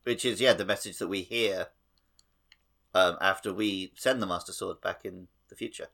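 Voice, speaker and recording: A man with a fairly low voice co-hosts a gaming podcast. His talk is casual and conversational. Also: normal speed, gravelly, a bit bright. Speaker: podcast co-host. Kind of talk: casual chat about a video game.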